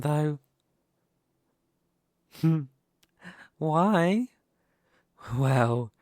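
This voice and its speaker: A man playing a smug, possessive demon king in an ASMR roleplay, speaking slowly and deliberately with a teasing, condescending manner. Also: medium pitch, no echo, clean studio sound. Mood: amused, smug. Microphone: close studio microphone, dry.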